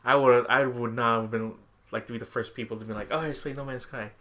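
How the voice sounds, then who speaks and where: A man's voice: medium pitch, fairly even intonation, fast, fairly bright tone. A man co-hosting a casual video game podcast, often chuckling as he talks. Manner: giggly, frequently chuckles while talking. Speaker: podcast co-host.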